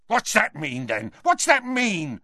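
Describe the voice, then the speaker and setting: A man's voice: a little high, sing-song, measured pace, creaky, pushed and bright, no room sound, studio quality, exaggerated voice. A man voicing a taunting, short-tempered male thug in an agitated, jeering manner. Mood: violent, agitated.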